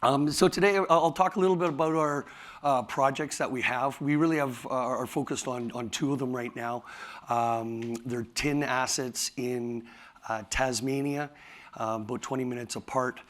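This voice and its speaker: A man with a raspy voice, tired from talking all day, presenting his company at a conference in a halting way, with many fillers and restarts. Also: mid-range voice, fairly even intonation. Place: conference hall.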